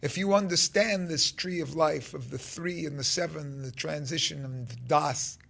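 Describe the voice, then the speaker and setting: A man in his fifties giving an informal spiritual talk to his phone, halting and rambling, with emphatic stresses and a gravelly voice. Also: a little high, a bit bright. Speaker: spiritual teacher. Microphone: phone held close at arm's length.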